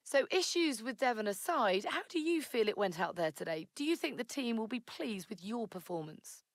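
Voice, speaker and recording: A woman's voice: a little high, lively intonation, normal speed, dry recording, clean studio sound. An actress playing a poised, probing motorsport interviewer in a game, polished and inquisitive in her questions. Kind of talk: broadcast interview in game cutscene.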